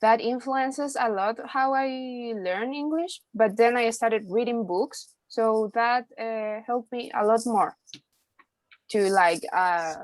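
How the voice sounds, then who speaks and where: A woman's voice: fairly high pitch, slow, no room sound. A young woman, a university student explaining her views in a class discussion.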